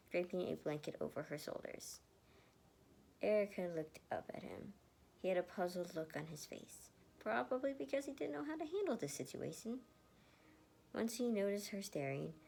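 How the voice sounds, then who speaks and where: A woman narrates a story in a low voice with a gravelly fry. She reads dramatically but trips on words and rereads. There is faint noise in the home recording. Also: darkish tone. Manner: stumbles over lines and rereads. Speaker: fan fiction reader. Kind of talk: dramatic storytelling read aloud.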